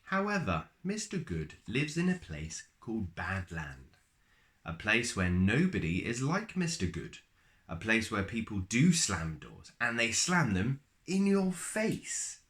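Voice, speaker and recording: A man with a lowish voice reads a children's picture book aloud. His delivery is slow and highly animated, swooping across a wide range. He leaves dramatic pauses and stretches out words for effect. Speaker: storyteller reading aloud.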